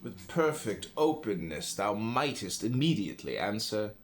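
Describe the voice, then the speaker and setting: A man reading a philosophy book aloud in a solemn, deliberate way, phrase by phrase with pauses. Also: on the low side, very animated. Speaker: audiobook reader.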